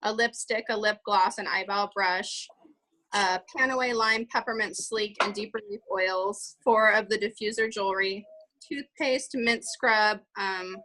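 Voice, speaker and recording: A woman's voice: medium pitch, bright, forceful tone, rough recording. A woman leading a casual planning meeting, chatty and full of fillers as she runs through lists of items. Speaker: event organizer leading a meeting.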